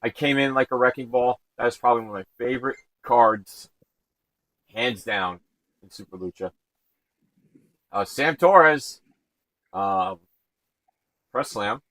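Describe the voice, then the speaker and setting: Man, booming voice, stream host, energetic, dry close sound. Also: unhurried, long pauses, no echo. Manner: energetic, shouts out viewers. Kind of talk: live stream chat.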